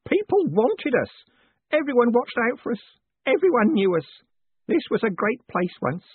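A man's voice: very high-pitched, sing-song, normal speed, breathy edge, no room sound, pitched above the performer's own voice, exaggerated voice. A man voicing a timid, tearful old male postal worker who keeps saying "sir". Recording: dry, tinny phone-like audio.

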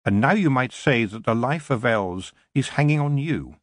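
A man's voice: mid-range voice, animated, no room sound, pitched above the performer's own voice, natural voice. Man doing a young witch girl, stern, commanding, raised voice, dry close sound. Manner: firm, measured, commanding.

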